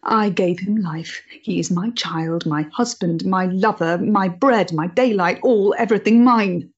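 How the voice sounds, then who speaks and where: An actress playing a proud, intense woman rebel of the Victorian era, measured, grave and dramatic, in a period stage play. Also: medium pitch, breathy edge, muffled recording. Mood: intense, defiant.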